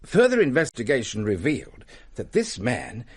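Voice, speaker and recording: A man playing a prim, excitable Victorian English gentleman in a radio drama, telling tales in formal, theatrical phrases. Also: mid-range voice, moderate pace, breathy edge. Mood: relishing the tale. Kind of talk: period radio drama storytelling.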